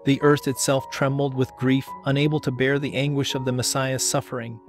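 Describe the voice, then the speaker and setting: A man reading a scripted homily as solemn, measured dramatic narration. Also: medium pitch, flat delivery. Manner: measured, solemn storytelling delivery. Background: background music.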